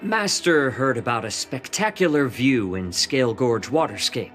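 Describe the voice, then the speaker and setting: A man's voice: medium pitch, expressive, moderate pace, a bit bright, faint music behind, natural voice. An actor playing a polite, formal male retainer delivering a message. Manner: courteous, formal. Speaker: retainer, messenger. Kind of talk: game voice acting.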